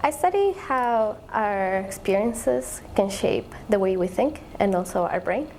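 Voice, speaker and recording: A woman's voice: medium pitch, animated, unhurried, darkish tone, a little hiss or hum, poor audio. A young woman, a graduate student explaining her research in an interview, thoughtful and searching for words. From Ecuador. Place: eye tracking lab.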